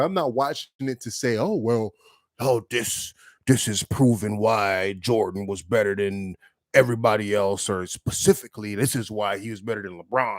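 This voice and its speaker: A man co-hosting a podcast in casual chat, talking in an animated, emphatic way with plenty of swearing. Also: mid-range voice, no echo, clean studio sound. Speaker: podcast co-host.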